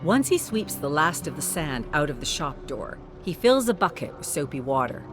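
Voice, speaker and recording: A narrator reading a scripted story in an expressive storytelling manner. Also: mid-range voice, normal speed. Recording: music under the voice. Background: music.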